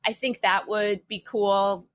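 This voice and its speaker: A woman's voice: mid-range voice, steady tone, slow pace. A woman chatting casually as a panelist on a podcast. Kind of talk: casual chat.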